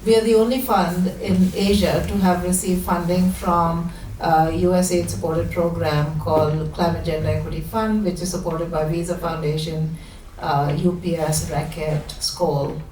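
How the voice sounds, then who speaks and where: A woman from India speaks as a fund founder, giving an informal pitch at a panel. Her voice sits a little low. It is picked up away from the mic in an echoing room with slight noise. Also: fairly even intonation, moderate pace, darkish tone. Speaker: fund founder and managing partner. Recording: echoey room, distant mic, background noise.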